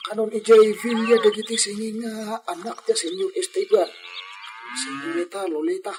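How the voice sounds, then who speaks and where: A man's voice: high voice, fairly even intonation, moderate pace, noisy background, close to an everyday voice. A man with a Filipino accent acting a fretful rustic father in a radio drama. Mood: fretful, curious. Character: Benito's rustic old father, fretful farmhand.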